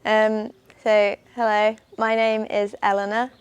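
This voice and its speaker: A woman's voice: medium pitch, little pitch movement, unhurried, smooth, highs cut off. A woman in her twenties with an English accent, a research student giving a cheerful self-introduction with frequent fillers. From England. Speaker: PhD student. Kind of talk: short self-introduction to camera.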